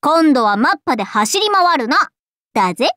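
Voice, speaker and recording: Playful young anime knight boy, very high pitch, bright, bubbly, exclamatory, dry studio sound. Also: sing-song, normal speed, dry recording, exaggerated voice. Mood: excited, teasing. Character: cheerful, scatterbrained androgynous young male knight. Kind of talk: anime game character acting.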